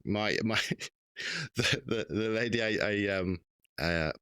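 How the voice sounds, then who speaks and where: A man hosting a casual podcast conversation, speaking in a halting way with pauses and repeated words. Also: fairly low pitch, bright, forceful tone, clear, resonant tone, no echo, studio quality. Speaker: podcast host.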